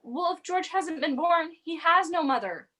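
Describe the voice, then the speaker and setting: A woman's voice: very high-pitched, moderate pace, pushed and bright. A woman playing a stern, commanding heavenly supervisor in an amateur radio play.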